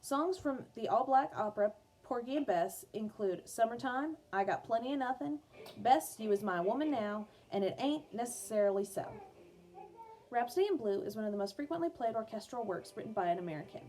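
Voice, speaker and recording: A woman reading an educational biography aloud for children as a music teacher, in a clear, measured storytelling manner. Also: fairly high pitch, animated, a little mellow, slight background noise. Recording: music playing behind the voice. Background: background music.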